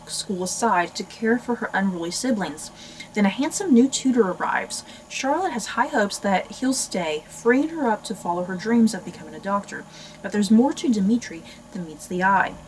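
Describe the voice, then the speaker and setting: A woman's voice: medium pitch, a little hiss or hum, rough recording. An American woman hosting a book channel, chatting casually and expressively to viewers as she reads synopses aloud. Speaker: book review channel host.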